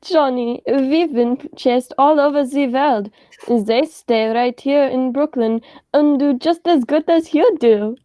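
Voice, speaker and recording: A cautious crooked doctor in a radio play, played by a woman, with a high, soft-edged voice. The sidekick speaks slowly and nervously, in a very dry recording. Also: no room sound. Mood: contradicting, pedantic. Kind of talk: radio play acting, high school theatre.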